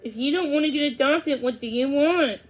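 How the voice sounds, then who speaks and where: A woman voicing a caring, perceptive female dog in a storybook, speaking in a gentle, earnest way. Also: high voice, normal speed, close to an everyday voice. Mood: reassuring.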